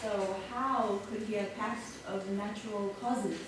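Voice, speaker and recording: A woman playing a sharp-witted, sceptical sleuth in a staged comedy reading, challenging others in a precise, argumentative way. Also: medium pitch, steady tone, unhurried, natural voice. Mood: probing. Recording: very echoey room, distant mic, clear noise.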